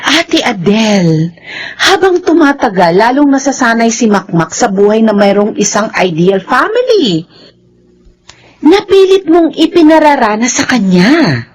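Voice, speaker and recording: Animated, exasperated Filipino younger sister. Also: female voice, a little high. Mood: urgent, worried. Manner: nagging, emphatic. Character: blunt, exasperated younger sister pressing for truth. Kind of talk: Filipino radio drama acting.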